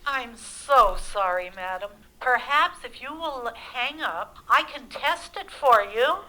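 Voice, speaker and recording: An actress playing a polite, formal and apologetic telephone operator in an old-time radio play. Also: female voice, on the high side, animated, pushed and bright, exaggerated voice, rough recording. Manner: formal, deliberately courteous. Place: live theatre stage radio play.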